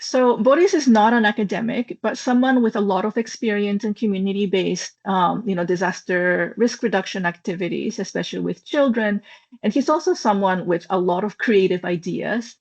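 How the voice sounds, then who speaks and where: A woman's voice: medium pitch, moderate pace, darkish tone. A woman lecturer presenting her research in a webinar, speaking thoughtfully with frequent ums and uhs. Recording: slightly dull, lo-fi online call audio.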